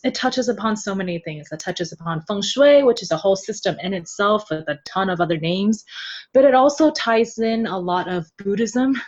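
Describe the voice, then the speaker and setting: Laughing, animated woman guest on a podcast. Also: medium pitch. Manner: animated, laughs often, expressive storytelling. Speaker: guest translator. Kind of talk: casual interview chat. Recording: slightly dull lo-fi sound.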